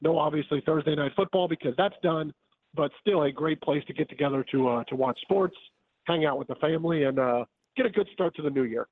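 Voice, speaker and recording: A slightly hoarse man calling in to a radio show, chatty and joking as he runs through promo details. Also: fairly high pitch, fairly quick, slight background noise. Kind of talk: casual call-in chat. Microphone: phone. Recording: tinny phone line.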